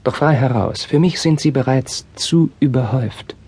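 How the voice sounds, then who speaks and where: A husky, anguished young prince. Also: male voice, medium pitch, normal speed, a little mellow. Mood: bitter defiance. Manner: declamatory, emotionally intense, formal verse delivery. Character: young anguished Spanish crown prince, passionate and bitter. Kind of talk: classical German stage drama acting.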